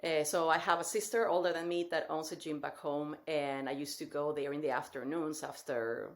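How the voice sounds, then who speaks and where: A woman in her forties from Mexico with a Mexican Spanish accent, a guest telling her story in a lively way on a podcast. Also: low-pitched, normal speed. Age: 40s. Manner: lively storytelling with frequent laughs. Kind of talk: podcast interview.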